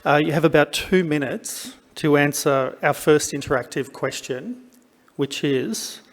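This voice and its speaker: A man hosting a conference session, relaxed and with many fillers. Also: a little high, measured pace, in a large hall. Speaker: event host.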